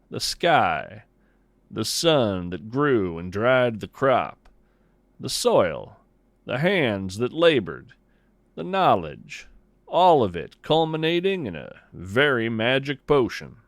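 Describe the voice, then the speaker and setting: Male podcast narrator, folksy and poetic, unhurried delivery, very close dry sound. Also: medium pitch, big pitch swings, a bit bright, no room sound. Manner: folksy, musing, poetic, deliberate phrasing. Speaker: podcast host. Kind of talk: scripted first-person narration in a fiction nature podcast.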